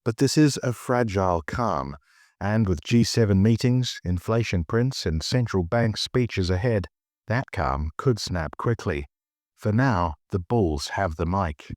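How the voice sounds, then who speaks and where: A man hosting a financial news podcast, reading a script in a measured, polished newsreader delivery. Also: lowish voice, expressive, a little mellow, no echo, studio quality.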